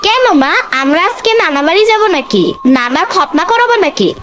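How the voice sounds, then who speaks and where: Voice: high voice, big pitch swings, fairly quick, noisy background. An actor voicing a cheeky, stubborn little boy in a comedy cartoon, whiny and mouthy, with a Bangladeshi accent. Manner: whiny, bargaining, backtalking. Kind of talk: Bengali comedy cartoon dub. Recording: loud music over the voice.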